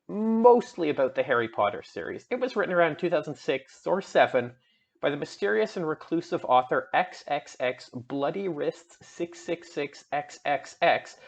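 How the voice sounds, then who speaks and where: A young man giving a theatrical introduction with a mock-serious, emphatic and careful delivery. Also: fairly high pitch. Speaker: reader, game master. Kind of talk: theatrical dramatic reading.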